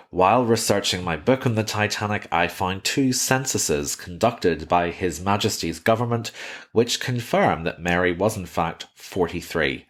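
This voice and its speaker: A man hosting a history podcast, reading scripted narration with measured, wry conversational asides. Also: low voice, fairly bright tone. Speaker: history podcast host and author.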